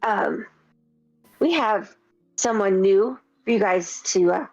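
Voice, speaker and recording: A woman co-hosting a casual podcast, halting with many fillers and quick to laugh. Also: medium pitch, darkish tone. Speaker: podcast co-host. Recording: dull lo-fi sound with faint music.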